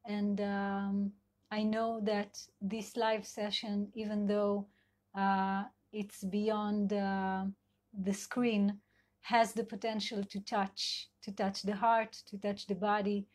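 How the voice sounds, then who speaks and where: A middle-aged woman with an Israeli accent, a sex and couple therapist whose first language is Hebrew, giving a live online talk in a gentle, searching way with many fillers. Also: mid-range voice, flat delivery, slow pace. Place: at home in Israel.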